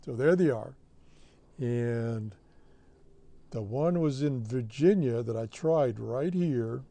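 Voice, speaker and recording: An American man with a mellow, relaxed voice talks through a casual how-to as a hobby show host. He explains things plainly, one step at a time, on a close mic with a dry sound. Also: mid-range voice, slow, no echo. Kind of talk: casual screen-share tutorial.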